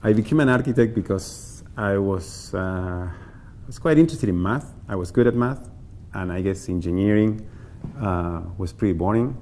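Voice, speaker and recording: Brief: male architect, very low voice, halting with uhs, faint hum in background. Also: dark tone. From Argentina. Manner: hesitant, many uhs. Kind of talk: documentary interview.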